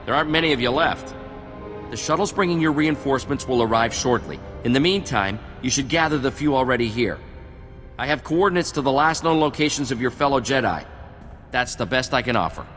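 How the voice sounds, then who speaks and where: A man's voice: medium pitch, animated, a little fast, a bit bright, close to an everyday voice. A man playing a blunt, harried, war-weary army captain in a video game. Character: harried, gruff-talking male army captain in wartime. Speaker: military officer. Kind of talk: dramatic scripted game acting. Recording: long reverb, music behind.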